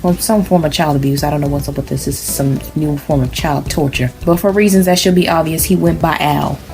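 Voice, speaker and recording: Low-voiced deadpan woman storyteller over music. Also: brisk, vocal fry. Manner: deadpan, mock-serious, sassy asides. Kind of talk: comic improvised bedtime storytelling. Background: background music.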